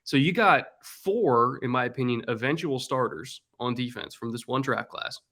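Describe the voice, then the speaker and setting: A man's voice: medium pitch, animated, moderate pace, pushed and bright. Confident man giving football analysis. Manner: confident, opinionated, explains at length. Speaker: guest analyst. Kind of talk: casual sports analysis chat.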